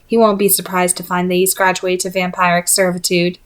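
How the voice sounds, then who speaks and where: A woman reader puts on a highborn vampire woman from a novel. She is haughty and scornful, with formal, imperious phrasing and a cool sense of her own rank. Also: completely flat delivery, a little fast, close to an everyday voice. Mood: cool, assured.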